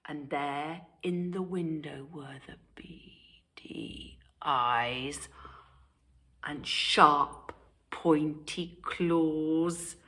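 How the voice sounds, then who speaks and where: A woman's voice: sits low, drawn-out words, pushed and bright. A woman with a British accent reading a children's story aloud with animation, turning aside to question her young listeners. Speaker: primary school storyteller.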